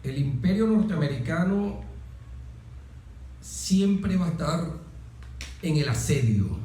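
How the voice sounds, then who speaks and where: Male guest, unhurried emphatic political explanation, strongly reverberant room. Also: a little high, very animated, warm tone. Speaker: interview guest, military officer. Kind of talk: political discussion, deliberate explanation. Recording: very echoey room.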